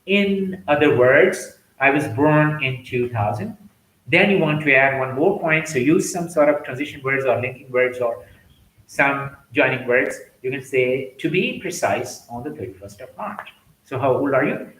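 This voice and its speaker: A man giving a recorded English lesson, explaining pronunciation with many fillers and sounding out example words slowly. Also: mid-range voice, a bit bright. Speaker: English teacher. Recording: echoey room.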